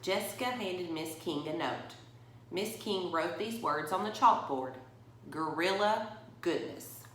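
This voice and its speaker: Lowish-voiced woman reading in an echoey room. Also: unhurried, a little hiss or hum. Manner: expressive, addresses young listeners directly. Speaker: story reader for a church. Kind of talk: children's bedtime story read aloud.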